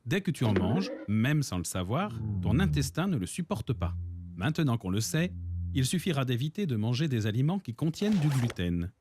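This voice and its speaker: A man voicing a calm, reassuring male doctor in a cartoon, explaining things patiently over music. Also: mid-range voice, normal speed, noisy background, lightly acted.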